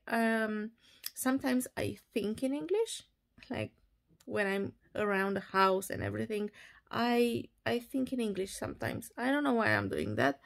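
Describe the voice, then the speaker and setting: A woman in her thirties with a Romanian accent, vlogging casually at home into a phone held at arm's length, talking in a slow, relaxed and sleepy way. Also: mid-range voice, vocal fry. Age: 30s. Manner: slow, relaxed and sleepy, with frequent laughs and restarts. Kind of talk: casual selfie vlog. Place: bedroom and bathroom at home, indoors.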